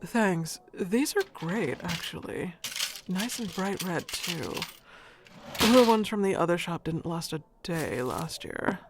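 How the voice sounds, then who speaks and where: Woman as hurried customer, lowish voice, matter-of-fact, audio roleplay, clearly noisy clicky recording. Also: expressive. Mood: satisfied. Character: brisk woman customer buying flowers in a rush.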